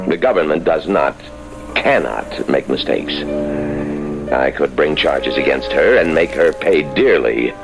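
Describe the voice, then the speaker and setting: An actor playing a stern, troubled government minister, formal and earnest, in old radio drama style. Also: male voice, extremely low pitch, gravelly, heavily acted, rough recording. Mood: brooding, troubled.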